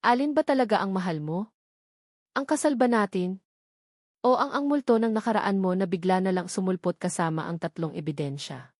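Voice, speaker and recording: A woman's voice: medium pitch, moderate pace, a little mellow, no echo, studio quality, close to an everyday voice. A woman voicing an anguished, jealous young bride-to-be in a story, accusing and dramatic. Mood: demanding.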